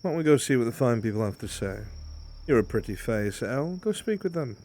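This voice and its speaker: A man's voice: medium pitch, sing-song, a little fast, a little mellow. A man playing a seasoned ogre-hunting inquisitor in fantasy roleplay, cool and commanding, dry and self-assured.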